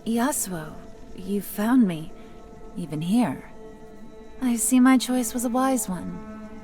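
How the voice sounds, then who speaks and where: A woman playing a wise, guarded, mysterious wanderer in a video game, with measured, poised storytelling delivery. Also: a little high, dark tone, soft background music.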